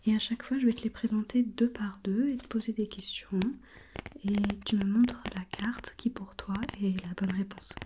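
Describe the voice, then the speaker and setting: A woman whispering breathily in an ASMR role play, gently putting questions step by step as an examiner and softly repeating herself. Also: mid-range voice, fairly even intonation, moderate pace, noisy background. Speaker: examiner in a role-played visual test.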